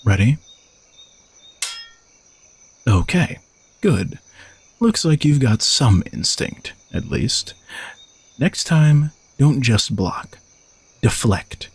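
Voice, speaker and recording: A man playing an arrogant, teasing young knight bodyguard who is gruffly dutiful, in an audio roleplay spoken to the listener. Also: on the low side, no echo, full character voice. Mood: cool, instructing.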